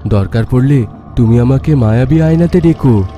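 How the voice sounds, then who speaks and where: A man playing a protective husband in a cartoon, speaking in a calm, reassuring manner. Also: mid-range voice, moderate pace, soft background music, natural voice. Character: protective husband with magical powers.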